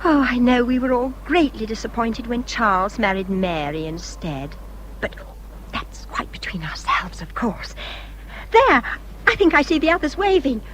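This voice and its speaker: A woman playing a high-spirited, headstrong young English gentlewoman in a period radio drama, emphatic and girlish in manner. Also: big pitch swings, normal speed, slight background noise, low-quality recording. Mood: confiding.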